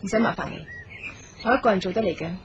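A woman's voice: medium pitch, fast, highs cut off, noisy background, close to an everyday voice. A woman playing a guarded, proud daughter in a radio drama. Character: guarded, proud woman hurt by her father. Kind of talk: Cantonese radio drama acting. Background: music.

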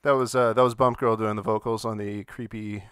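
A man hosting a casual live-stream chat, talking in a halting, distracted way. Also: fairly low pitch, steady tone, fairly bright tone, smooth, no echo, studio quality. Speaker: podcast host running the stream.

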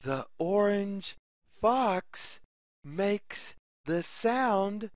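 A narrator reading a repetitive children's story aloud in a deliberate, word-by-word, sing-song way. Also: medium pitch, animated, dry recording, clean studio sound.

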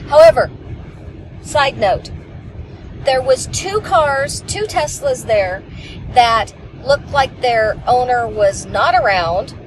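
Woman passenger, 40s, lively rambling chatter, a bit high, road noise in the car; dashboard camera. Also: slow, rough recording. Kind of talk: casual chat. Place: inside a moving car.

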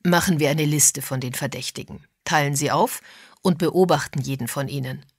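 Woman doing a practical guy sleuth in an audiobook, low husky voice, explains things methodically. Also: moderate pace, no room sound, studio quality. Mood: brisk, methodical. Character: composed, practical man, helpful amateur sleuth.

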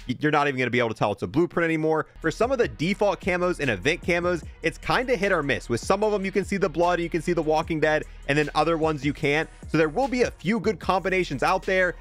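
Man, somewhat high voice, casual gaming host, conversational, thinking aloud, light background music. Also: a bit bright. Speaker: gaming video host reviewing a cosmetic bundle. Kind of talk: casual video game commentary.

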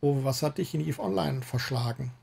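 A man's voice: medium pitch, normal speed, gravelly, a little mellow, slightly rough. A man hosting a gaming livestream, chatting casually with guests and viewers. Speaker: livestream host. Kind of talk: casual gaming stream chat. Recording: faint background noise.

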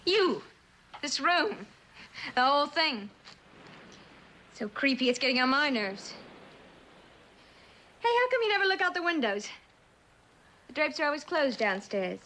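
A woman's voice: high voice, sing-song, a little slow, pauses often, sharp tone, full character voice. A young American woman playing a brash, flippant, defensive eighteen-year-old orphan girl in a vintage suspense drama, slangy and wisecracking. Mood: rattled. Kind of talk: 1960s television suspense drama acting. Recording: noisy vintage TV drama soundtrack.

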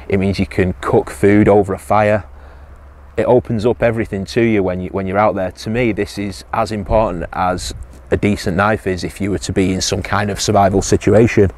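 A man's voice: lowish voice, a little mellow. A man, casually reviewing survival gear outdoors on a hillside, hesitant with many ums and restarts.

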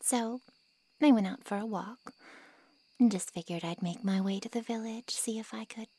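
A woman playing a snide, taunting female monster in an ASMR roleplay, with a sarcastic drawl and a breathy, half-whispered voice. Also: fairly high pitch, moderate pace, mellow, no room sound, rough recording. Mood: smug, sly. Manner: sarcastic, insulting, teasing drawl.

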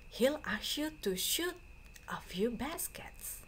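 A woman teacher reading a storybook aloud to students in a deliberate, phrase-by-phrase way. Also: fairly high pitch, big pitch swings, fairly bright tone, a little hiss or hum. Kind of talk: slow storybook read-aloud for a class.

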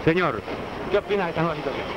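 A male Argentine TV reporter works a city street with a handheld microphone. He fires formal questions quickly in a fairly high voice, on dull, noisy vintage newsreel audio. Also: expressive. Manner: formal, insistent. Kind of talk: vox pop news interviewing. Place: outdoors on a busy city street.

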